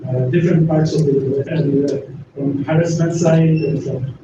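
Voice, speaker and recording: A man's voice: a little high, steady tone, unhurried. Older man speaking from across an echoey hall. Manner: chatty, jokes and laughs with the audience. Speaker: guest speaker. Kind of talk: informal lecture to an audience. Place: university lecture hall. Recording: echoey room, distant mic, dull lo-fi sound with noise.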